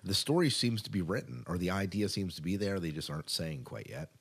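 Very low-voiced man chatting entertainment news. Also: animated, a little fast, creaky, slightly rough. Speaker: podcast co-host. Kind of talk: casual entertainment news chat.

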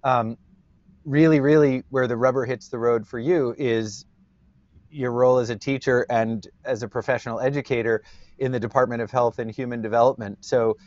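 Loud male host, dry muffled sound. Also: mid-range voice, steady tone, normal speed, dry recording. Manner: loud, rambling, joking. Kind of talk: interview hosting.

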